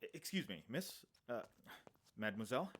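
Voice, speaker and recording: A man's voice: fairly low pitch, sing-song, fairly quick, background noise. A man playing a desperate, earnest young former palace servant in an audio drama, stammering and pleading. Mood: flustered.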